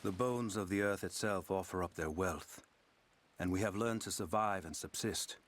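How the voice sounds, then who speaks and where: Man acting as stoic survivor lore-keeper, deep voice, grave, measured, video game document narration. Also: close to an everyday voice. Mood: grave, instructive. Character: stoic male survivor teaching his people's lore.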